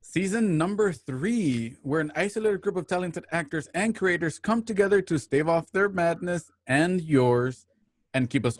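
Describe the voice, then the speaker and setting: A man hosting an online radio drama, reading a scripted introduction with measured, announcer-like phrasing. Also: a little high, no room sound, clean studio sound.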